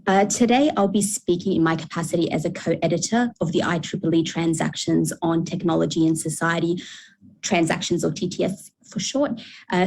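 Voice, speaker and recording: A woman with a very low voice delivers formal, prepared panel remarks as a journal co-editor. Faint noise sits behind her. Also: darkish tone, rough recording. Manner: formal, reads from prepared text.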